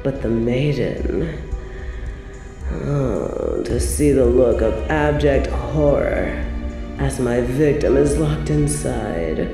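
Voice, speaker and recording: A woman's voice: very deep voice, big pitch swings, slow pace, creaky, full character voice. A woman playing a cruel, possessive medieval evil queen in an audio drama, speaking in regal, mocking, theatrical and formal phrases. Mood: sadistic delight. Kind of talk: scripted roleplay audio drama. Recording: roomy reverb, music underneath.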